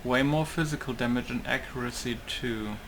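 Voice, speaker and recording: A man's voice: mid-range voice, moderate pace, a bit bright, a little hiss or hum. A man giving casual let's play commentary, thinking aloud in a musing way. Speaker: gaming YouTuber.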